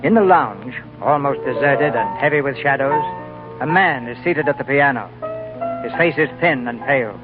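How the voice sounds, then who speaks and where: A man's voice: mid-range voice, expressive, moderate pace, natural voice. Solemn male radio narrator over music. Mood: solemn, hushed. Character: solemn, atmospheric storyteller setting a misty scene. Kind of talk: scripted narration, 1940s radio drama. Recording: tinny old radio broadcast, music underneath.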